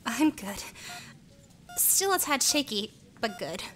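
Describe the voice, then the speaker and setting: A woman playing a friendly, expressive young woman in an amateur radio play. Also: fairly high pitch, slow pace, a little hiss or hum, heavily acted. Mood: shaky, friendly.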